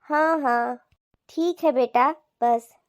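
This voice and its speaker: A woman's voice: high-pitched, takes it slowly. A woman voicing a scornful, bossy middle-aged mother-in-law with a mocking, dismissive way of talking in a cartoon family drama. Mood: casual. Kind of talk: animated family drama dialogue.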